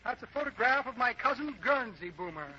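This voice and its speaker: A man's voice: very high voice, expressive, fairly quick, pushed and bright, full character voice. An actor playing a boastful, shifty magician and con man with pompous, florid patter in an old American radio comedy. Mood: rambling, evasive. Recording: muffled, hissy old-time radio recording.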